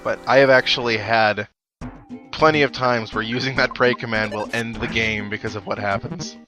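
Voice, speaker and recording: A man's voice: fairly low pitch, fairly even intonation, moderate pace, bright, forceful tone. A young man giving casual, rambling, self-deprecating gameplay commentary with video game music behind him. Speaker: video game let's player.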